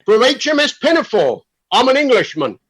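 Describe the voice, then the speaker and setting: Actor as exuberant village beer lover, hearty, encouraging, very animated pitch, radio comedy. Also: male voice, moderate pace, slightly rough, full character voice. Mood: bossy, playful. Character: Wolfie, exuberant beer-loving village amateur singer and organiser. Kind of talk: radio comedy drama acting.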